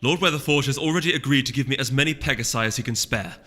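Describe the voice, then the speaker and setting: An imperious noble mare duchess, acted out by a male reader, haughty and exasperated. The voice is pushed high and bright, with emphatic storybook delivery in long reverb. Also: fast. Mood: insistent, urgent. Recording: long reverb, music underneath.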